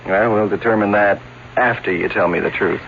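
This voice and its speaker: A man's voice: fairly low pitch, normal speed, gravelly. A man playing a stern, dry police lieutenant questioning a witness in an old radio drama. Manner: hesitant and apologetic as clerk, then dry and stern. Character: man posing as coroner's clerk, then a dry police lieutenant. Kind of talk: 1970s radio drama acting. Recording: dull old radio broadcast with hiss.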